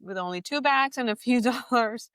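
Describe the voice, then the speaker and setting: A woman's voice: fairly high pitch, normal speed, fairly bright tone, clear, resonant tone, no room sound. A middle-aged woman from Colombia, a native Spanish speaker with a Colombian accent, telling personal stories conversationally as a podcast host. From Bogota, Colombia.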